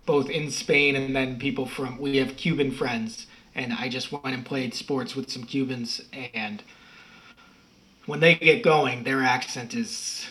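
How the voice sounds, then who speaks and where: A man's voice: medium pitch, steady tone, a little slow, sharp tone, poor audio. An American man, middle-aged, telling stories in a rambling, self-correcting way as an interview guest. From United States. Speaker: podcast guest. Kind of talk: casual interview talk.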